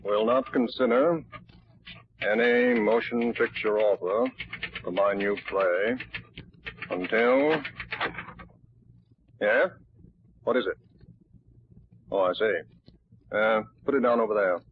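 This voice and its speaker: An actor playing an imperious, irritable theatrical manager in a 1940s radio drama, brusque and commanding. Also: male voice, lowish voice, takes it slowly, pauses often. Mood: brusque, businesslike. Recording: tinny, hissy 1940s radio recording.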